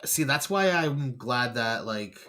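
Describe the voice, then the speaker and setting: A man's voice: medium pitch, lively intonation, slow, bright, forceful tone. A man hosting a podcast, chatting casually and laid-back, often asking "you know what I mean". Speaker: podcast host, rapper.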